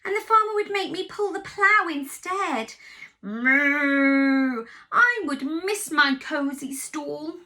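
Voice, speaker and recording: A woman's voice: very high voice, a little slow, few pauses, sharp tone, pitched above the performer's own voice, exaggerated voice. Woman doing a plaintive mooing cow. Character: placid, worried dairy cow.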